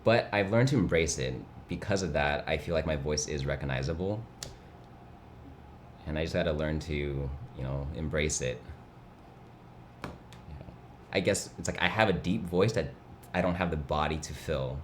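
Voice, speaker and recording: A young man hosts a laid-back livestream, his voice sitting extremely low and full of vocal fry with a nasal edge. Mandarin was his first language, and he rambles easily while chatting. A faint hum of room noise sits under him. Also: moderate pace, long pauses. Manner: laid-back, rambling, reads chat aloud. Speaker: livestream host. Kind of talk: casual chat.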